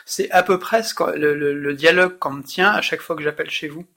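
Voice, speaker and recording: A man's voice: a little high, fast talker, gravelly, pushed and bright. A man calling to complain, exasperated and insistent, pressing with pointed questions in a recorded phone call. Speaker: caller, customer complaining.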